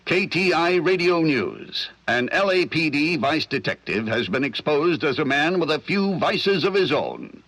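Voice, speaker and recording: A man's voice: a little high, big pitch swings, unhurried, few pauses, fairly bright tone, heavily acted. An American man announcing news and adverts in polished, emphatic 1940s radio style. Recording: reverberant old-time radio sound.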